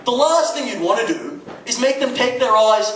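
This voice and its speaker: High-pitched male stand-up comic, roomy club sound. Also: animated, moderate pace, fairly bright tone. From Dubai. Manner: conversational, punctuated with rhetorical questions and swearing. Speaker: stand-up comedian. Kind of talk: stand-up comedy routine. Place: comedy club stage. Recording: roomy, distant mic, noisy club recording. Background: audience laughter, background noise.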